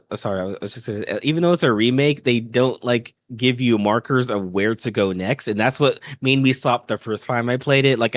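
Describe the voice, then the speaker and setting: A man's voice: medium pitch, a bit bright, dry recording, clean studio sound. A man chatting informally as a podcast co-host about games, griping in an offhand, conversational way. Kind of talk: casual chat about video games.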